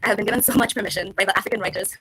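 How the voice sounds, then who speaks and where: Bright, husky, emotional woman from Kenya. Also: medium pitch, fairly even intonation, racing. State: emotional, tearful. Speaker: author accepting an award. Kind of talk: award acceptance speech.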